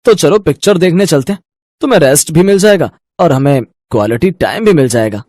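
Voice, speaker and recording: An actor playing a practical young husband in a cartoon family story, speaking in a reasoning manner. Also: male voice, fairly high pitch, lively intonation, brisk, a little mellow, dry recording, clean studio sound. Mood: affectionate. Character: young husband, practical, exasperated about money. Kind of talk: Hindi cartoon story dialogue.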